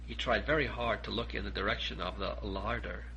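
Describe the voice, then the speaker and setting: A man's voice: on the low side, steady tone, brisk, creaky, pushed and bright, a little hiss or hum, rough recording. A man narrating a children's story expressively as an audiobook reader.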